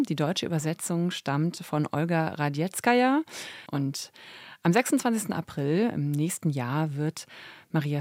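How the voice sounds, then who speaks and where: A woman's voice: low-pitched, a little mellow, no echo, clean studio sound. A woman presenting and interviewing on a radio culture programme.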